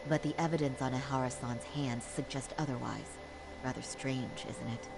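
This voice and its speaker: A serious, composed female lawyer in a video game. Her voice is deep for a woman, her speech formal and precise, with loud music underneath. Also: fairly even intonation, moderate pace, creaky, rough recording. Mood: probing. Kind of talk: English game dub dialogue.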